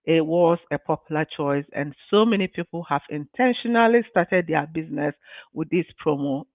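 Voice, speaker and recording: A woman's voice: low-pitched, normal speed, no room sound. A woman speaks to her live-stream viewers as a business mentor, earnest and encouraging, often saying "you know." Her voice comes through a tinny, phone-like line with no room sound around it. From Ghana. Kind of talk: motivational live-stream talk.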